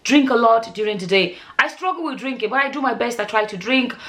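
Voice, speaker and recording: A woman's voice: on the high side, a little fast, fairly bright tone. A woman, talking straight to camera in a casual vlog, earnest and repeating herself for emphasis. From Nigeria. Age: 40s. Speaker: vlogger.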